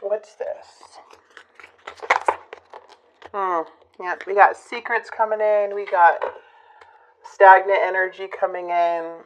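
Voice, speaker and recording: A woman giving a casual tarot reading to her viewers, musing and hesitant, her voice a bit hoarse from a recent illness. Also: mid-range voice, little pitch movement, slow pace, long pauses, muffled recording. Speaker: tarot reader.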